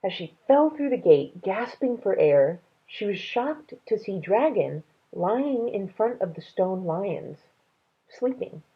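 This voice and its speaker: A woman reading a children's story aloud in an expressive, unhurried way, as if to young listeners. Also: medium pitch, slightly rough, highs cut off. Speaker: read-aloud reader.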